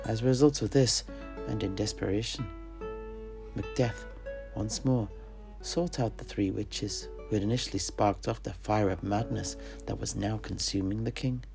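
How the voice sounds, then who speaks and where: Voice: mid-range voice, moderate pace, creaky, music in the background. A storyteller narrating fictional history in character, in a grave, literary and dramatic manner. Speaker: storyteller of a fantasy lore show.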